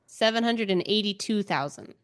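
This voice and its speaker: A woman chats casually as co-host of a book podcast. Her voice sits at a middling pitch, recorded dry with very little room sound. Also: animated, normal speed, no room sound.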